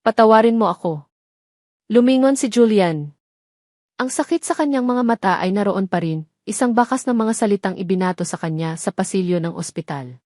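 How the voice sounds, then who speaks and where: A woman reading a scripted romantic audio drama as narrator, with measured, sentimental storytelling in short phrases. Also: medium pitch, lively intonation, mellow, no echo, studio quality.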